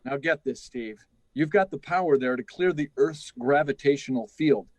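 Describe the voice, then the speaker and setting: A man's voice: medium pitch, normal speed, a little mellow, no echo, lightly acted. A man playing a careful, insistent space project chief in a 1950s radio drama style. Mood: grave, insistent. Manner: insistent, instructing. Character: stern, careful male test project chief.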